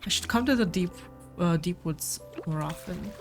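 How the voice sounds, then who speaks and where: A woman's voice: on the low side, normal speed. A woman with a cold, rambling casually as she plays a farming game and talks to her viewers. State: sick with a cold. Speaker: gameplay streamer. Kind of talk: casual gameplay commentary. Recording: faint game music behind.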